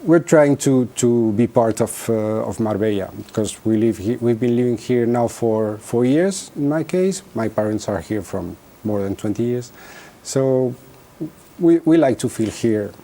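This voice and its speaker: Dark gravelly man with a French accent. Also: medium pitch, animated. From France. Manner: halting, many fillers and restarts. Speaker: real estate company guest. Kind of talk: interview answers.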